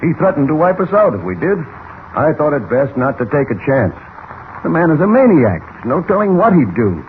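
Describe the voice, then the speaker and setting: Stoic police commissioner, tinny radio. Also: male voice, on the high side, big pitch swings, normal speed. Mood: grave. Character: stoic, defiant male police commissioner, injured but gruff. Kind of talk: 1940s radio serial acting. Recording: tinny old radio transcription, some noise.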